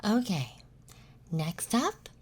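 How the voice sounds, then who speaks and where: A flirty, teasing emo femboy classmate character in an ASMR roleplay, speaking softly and breathily close to the mic and often dropping into a whisper. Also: medium pitch, big pitch swings, breathy edge. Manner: teasing, flirty, unhurried with pauses. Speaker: ASMR content creator performing a roleplay. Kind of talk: ASMR roleplay, intimate soft-spoken and whispered. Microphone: close ASMR microphone.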